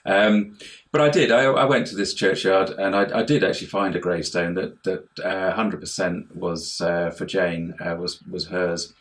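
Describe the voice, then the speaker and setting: A man's voice: low voice, normal speed, a bit bright. A man talking as an interview guest over an online call, explaining his ideas in a hesitant, digressive way, often repeating words. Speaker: interview guest, house healer and life coach.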